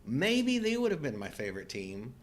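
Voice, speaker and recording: A man's voice: mid-range voice, wildly swooping pitch, normal speed. A middle-aged man chatting casually as co-host of a live show. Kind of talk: casual chat.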